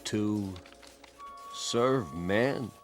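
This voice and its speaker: A man's voice: on the low side, extremely slow, faint music behind. A man playing a brusque, skeptical army colonel in a radio drama, clipped and commanding. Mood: doubtful. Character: brusque, skeptical male military colonel giving orders.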